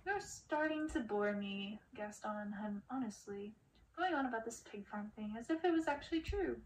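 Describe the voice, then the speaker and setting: A woman's voice: a little high, lively intonation, moderate pace, distant mic, slight background noise, close to an everyday voice, poor audio. A woman voicing an arrogant, scornful young prince from a storybook. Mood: bored, irritated. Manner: haughty, demanding, scornful.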